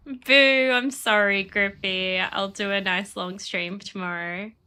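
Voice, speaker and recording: A woman with a bright, clear voice chats away to her stream while she plays, sniffling a bit from a cold. She rambles easily from one thought to the next and laughs often, and she talks at an unhurried, slow pace. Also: mid-range voice, a little hiss or hum. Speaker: game streamer. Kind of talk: casual chat while playing.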